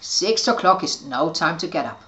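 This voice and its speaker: A woman's voice: sits low, animated, moderate pace, fairly bright tone, pitched below the performer's own voice. A woman voicing a young male fairy who is eager and a little boastful. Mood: sleepy. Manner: eager, boyish.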